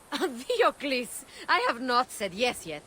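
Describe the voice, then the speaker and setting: Gentle, higher-voiced ancient healer woman. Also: big pitch swings, takes it slowly, slightly rough, exaggerated voice. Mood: warm, teasing. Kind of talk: video game cutscene acting.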